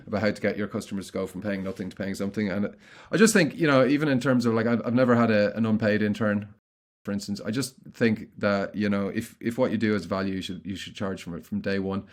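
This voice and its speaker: Middle-aged male guest, rapid delivery, somewhat low voice, loose restarts. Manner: quick, chatty, frequent restarts. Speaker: guest, content marketing consultant. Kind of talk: casual interview answers.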